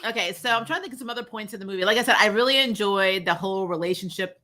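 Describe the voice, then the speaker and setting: A woman's voice: mid-range voice, rapid-fire, bright, forceful tone. A woman casually chatting in a joking film review, sarcastic and blunt, often giggling as she talks. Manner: giggly, laughs often while talking; sarcastic, blunt, salty language. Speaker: movie review show host.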